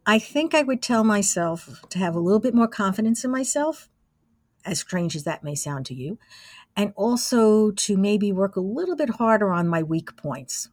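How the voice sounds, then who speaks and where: A woman's voice: mid-range voice, lively intonation, normal speed, no echo, studio quality. A woman in her sixties reminiscing casually as an interview guest. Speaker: interviewee, radio station alumna.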